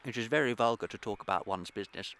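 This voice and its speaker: A man's voice: on the low side, fast, a bit bright, slight background noise. A man with a British accent playing a witty, unrepentant young Victorian dandy in a radio comedy, with a flippant, theatrical manner. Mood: breezy, teasing. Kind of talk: scripted radio comedy acting.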